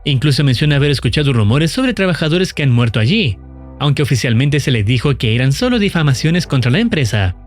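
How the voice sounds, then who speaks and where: A man's voice: mid-range voice, very animated, fast talker, soft background music. A man narrating a scripted video recap in an explanatory storytelling style. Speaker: narrator of a horror video channel.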